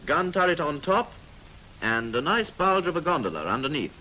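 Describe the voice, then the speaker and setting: A man's voice: on the high side, sing-song, moderate pace, sharp tone. A British man narrating a 1940s military training film in a clipped, instructive manner with drily jocular asides. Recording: noisy 1940s film soundtrack.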